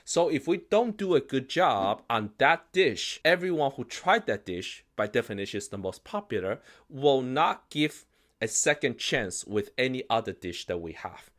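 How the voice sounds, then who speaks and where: Founder type, Chinese native speaker, animated and emphatic, mid-pitched man. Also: normal speed. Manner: animated, emphatic, acts out quoted reactions. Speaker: investment company founder. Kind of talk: casual explainer talk.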